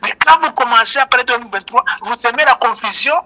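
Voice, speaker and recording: A man's voice: extremely high pitch, quick pace, bright, forceful tone. An older man with a Rwandan accent, a native Kinyarwanda speaker, giving emphatic, repetitive political commentary as an interview guest over a phone. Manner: emphatic, repeats key points. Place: remote call, shown as a photo.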